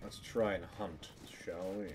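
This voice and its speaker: A man's voice: sits low, steady tone, unhurried, music in the background. A man doing casual, joking live gameplay commentary, swearing freely and talking to his viewers. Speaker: video game streamer. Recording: clear noise behind the voice.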